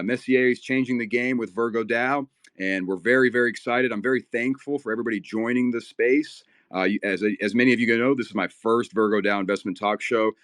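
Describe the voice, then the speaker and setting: A man's voice: medium pitch, fairly bright tone, dry recording, clean studio sound. A man born in Ukraine, a native Russian speaker, hosting a casual live audio chat in a rambling, filler-heavy, upbeat way, a little nervous. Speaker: host of a crypto talk space.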